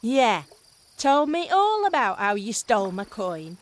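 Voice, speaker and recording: Brusque, lively fantasy heiress. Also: female voice, a little high, unhurried. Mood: dry, casual. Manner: offhand, dry, casual. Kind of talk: fantasy audio drama acting.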